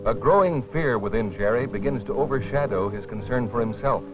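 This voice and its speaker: Man, dramatic documentary narration, grave and deliberate, dull film soundtrack, music behind. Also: mid-range voice, lively intonation.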